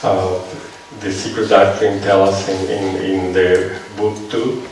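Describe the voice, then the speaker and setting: A man's voice: sits low, little pitch movement, slow, a little mellow. Man with a Venezuelan accent lecturing in an echoey hall. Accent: Venezuelan Spanish. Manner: conversational, checks on listeners with "right?". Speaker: seminar lecturer. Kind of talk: lecture with audience questions. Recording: echoey hall, mic far off, faint noise.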